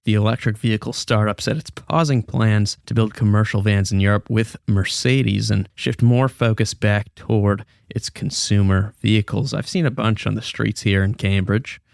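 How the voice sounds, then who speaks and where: A man with a fairly low voice with plenty of fry in it. He presents business news as a podcast host, keeping the wording informal and playful. The sound is close and dry. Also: steady tone, normal speed, few pauses, dry recording.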